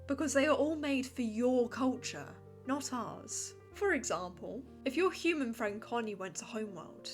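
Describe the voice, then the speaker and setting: A woman voicing a fussy, precise female alien gem in a cartoon comic dub, speaking formally as if lecturing. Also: fairly high pitch. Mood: patient explaining. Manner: formal, didactic, exasperated lecturing.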